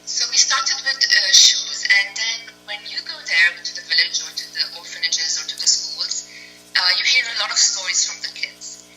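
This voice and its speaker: A woman's voice: medium pitch, moderate pace, bright, forceful tone, soft background music, low-quality recording. A middle-aged woman raised in Nigeria, a charity founder, talking earnestly as a guest in a casual interview. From Nigeria, born in Senegal.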